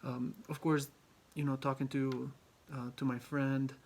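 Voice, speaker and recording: A young man from Albania giving a class presentation, halting often with ums and restarts. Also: mid-range voice, little pitch movement, husky. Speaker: student presenter.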